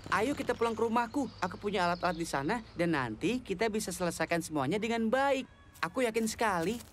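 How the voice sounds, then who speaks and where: A woman's voice: mid-range voice, lively intonation, fast talker, slight background noise, lightly acted. A woman voicing a confident, inventive schoolboy of about twelve in a children's cartoon, with a self-assured, reassuring manner. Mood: eager, planning. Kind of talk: animated children's cartoon dub. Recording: music behind the voice.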